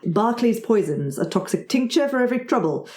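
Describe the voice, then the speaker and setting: A woman's voice: a little high, very animated, brisk, dark tone. A woman running a tabletop fantasy game, narrating off the cuff in a playful, conversational way. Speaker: game master. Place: studio. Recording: roomy, echoey studio.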